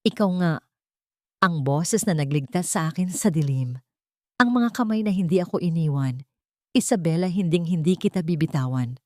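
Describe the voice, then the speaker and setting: A woman's voice: on the low side, lively intonation, moderate pace, a little mellow, dry recording, studio quality, natural voice. A woman voicing an earnest young man in love in an audio drama, emotional and intense. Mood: tender devotion.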